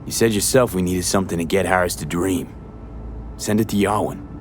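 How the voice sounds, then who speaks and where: An actor voicing a hard-boiled, blunt mercenary in a cyberpunk video game, with a low, husky delivery. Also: male voice, brisk, a little hiss or hum, close to an everyday voice. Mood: matter-of-fact.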